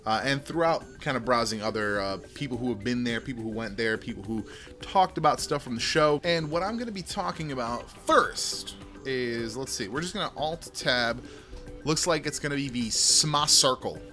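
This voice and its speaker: A man hosting a tech show in casual, rambling commentary with a loud speaking voice, often repeating and restarting his phrases, close to a microphone. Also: mid-range voice, animated, moderate pace, faint music behind. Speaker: tech video host. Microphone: microphone close in front.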